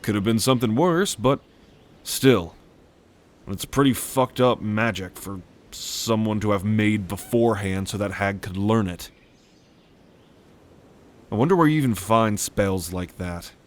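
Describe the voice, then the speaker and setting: A man's voice: on the low side, natural voice. A man playing a world-weary, blunt dragon brother in a roleplay audio drama, telling things in a wry way. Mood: bitter, wry. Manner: wry, unhurried storytelling with cursing.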